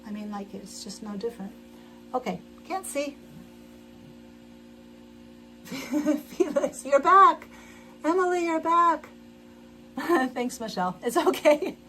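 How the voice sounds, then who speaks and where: Brief: middle-aged woman host, chatty and rambling, slightly high voice, noisy home livestream mic. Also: expressive, slow pace, long pauses, sharp tone. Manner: chatty, rambling, frequent laughs and restarts. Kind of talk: casual chat while sewing.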